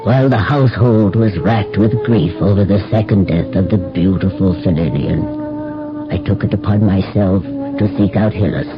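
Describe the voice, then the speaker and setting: An actor playing a thoughtful, wry household slave who narrates a radio drama in a measured, articulate, formal way. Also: male voice, fairly low pitch, very animated. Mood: earnest, grave. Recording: muffled old radio broadcast with music.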